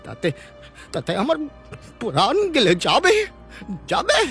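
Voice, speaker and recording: A man's voice: extremely high pitch, wildly swooping pitch, fairly bright tone, soft background music, full character voice. An actor playing a frightened young village man who stammers as he pleads. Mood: fearful, desperate. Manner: stammering, halting.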